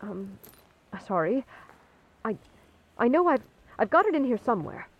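A woman's voice: fairly high pitch, sing-song, normal speed. A woman playing a nervous, polite, apologetic new employee in an audio comedy drama, in slightly muffled sound. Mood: flustered. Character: flustered, polite, eager female new employee.

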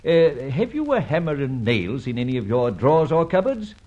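An actor playing a suave, mocking gentleman adventurer in a vintage radio drama, urbane and ironically polite. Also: medium pitch, sing-song, moderate pace, highs cut off. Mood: suave, teasing. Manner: urbane, ironically polite, hesitating with drawled uhs.

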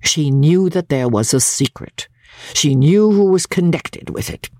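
A woman's voice: sits low, animated, measured pace, mellow, no room sound, studio quality. A woman reading classic literature dramatically as a prim, self-righteous older mother, pompous, genteel and indignant in manner. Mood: coldly scornful.

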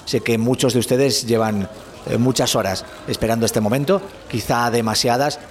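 A man's voice: mid-range voice, steady tone, moderate pace, a little hiss or hum, lightly acted. A man with an accent from Spain playing a proud, courteous space agency spokesman, giving a formal, polite press conference address in an audio drama. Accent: Spanish from Spain.

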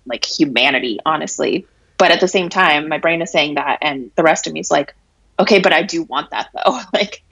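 A woman in her late twenties with a raspy, allergy-hoarse voice, talking frankly and reflectively as an interview guest. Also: low-pitched, a bit bright, no echo, low-quality recording. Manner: frank, reflective, many fillers and laughs. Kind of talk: candid casual conversation.

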